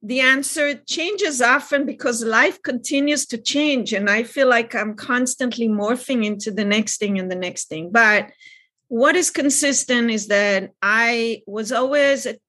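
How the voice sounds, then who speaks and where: A woman in her fifties from Israel, calmly answering interview questions as a child development expert. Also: on the high side, a little slow.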